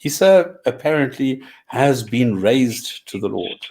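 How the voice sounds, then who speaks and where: A man's voice: medium pitch, animated, darkish tone. A man co-hosting a casual talk show, reading out translations and stopping to explain and repeat his points. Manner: measured, repeats himself, stops to clarify points. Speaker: podcast co-host.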